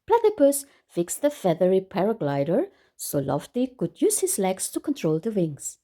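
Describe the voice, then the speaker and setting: A woman reading a children's story aloud in an expressive way, exclaiming and putting questions to her listeners. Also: mid-range voice, moderate pace. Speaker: storytime reader for a children's book club. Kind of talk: animated children's read-aloud with commentary to listeners.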